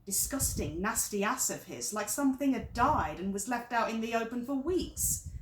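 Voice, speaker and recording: A woman's voice: fairly high pitch, expressive, moderate pace, roomy sound, slight background noise, lightly acted, poor audio. A woman performing a stage monologue as an exasperated wife in her thirties, confiding in a friend with dramatic, emphatic repetition. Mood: exasperated, indignant. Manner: dramatic, emphatic, repeats phrases for effect.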